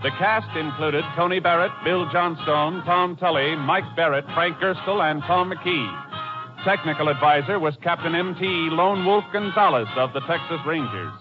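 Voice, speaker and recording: High-pitched vintage male radio announcer over music. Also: normal speed. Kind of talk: 1950s radio announcing, scripted credits. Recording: muffled old radio broadcast with music.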